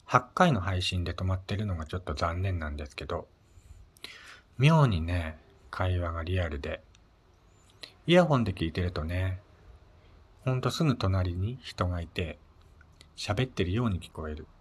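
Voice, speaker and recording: Low-voiced man chatting casually on his podcast. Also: sing-song, normal speed, long pauses, breathy edge. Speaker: solo podcast host. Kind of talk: casual solo radio chat.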